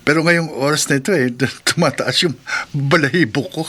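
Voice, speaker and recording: A man's voice: fairly high pitch, normal speed, bright, forceful tone, slightly rough. A man playing a meek, nervous, lovelorn husband in a horror radio drama, hesitant and prone to stammering. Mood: flustered, nervous. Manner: hesitant, stammering when flustered.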